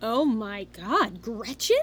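A woman's voice: a little high, lively intonation, slow pace, fairly bright tone, breathy edge, exaggerated voice. An actress playing a skeptical, bossy young woman in a comedic audio drama, with emphatic delivery. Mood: incredulous. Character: incredulous, bossy, high-voiced young woman friend.

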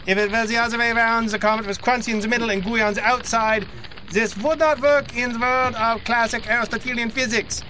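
A man's voice: very high voice, steady tone, a little fast, pushed and bright, a little hiss or hum. A man playing a pedantic scientist lecturing in wordy, mock-scholarly style in a comedic audio drama. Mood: pedantic, assured. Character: pedantic, pompous scientist expert briefing a crisis meeting.

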